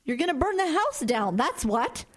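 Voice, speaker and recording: A woman's voice: high-pitched, very animated, normal speed. A woman playing a strict, worried mother who scolds her son. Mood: scolding. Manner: scolding, exasperated. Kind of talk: horror audio drama.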